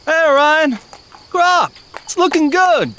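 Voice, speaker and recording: Actor as a lively, concerned farm neighbor. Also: sits high, slow pace, a little hiss or hum, full character voice. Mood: friendly. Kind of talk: audio drama acting.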